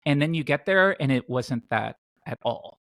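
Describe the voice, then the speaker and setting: A man's voice: medium pitch, expressive, moderate pace, creaky, fairly bright tone, dry recording, clean studio sound. A man from Tennessee talking casually as a podcast host. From Tennessee, United States.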